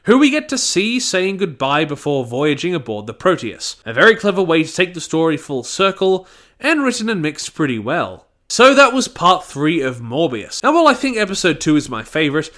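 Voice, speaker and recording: Guy reading out a review, pretty high voice, really swoops up and down, bit jokey. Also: very animated, normal speed, a bit bright. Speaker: audio drama reviewer. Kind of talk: scripted review narration.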